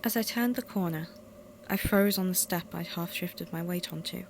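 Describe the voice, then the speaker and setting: A woman narrates a first-person scary story in a lowish voice full of creaky fry. The amateur recording carries faint noise. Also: moderate pace. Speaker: reader of a horror story.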